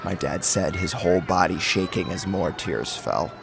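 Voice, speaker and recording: A man's voice: low voice, normal speed, creaky. A man narrating a first-person horror story with an intense, emotive, dramatic delivery. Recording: music behind the voice.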